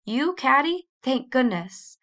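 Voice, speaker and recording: A woman's voice: mid-range voice, lively intonation, normal speed, gravelly, fairly bright tone. A woman voicing a stern yet loving pioneer father in a storybook, with an authoritative, grave manner. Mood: relieved. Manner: authoritative, snapping, then grave.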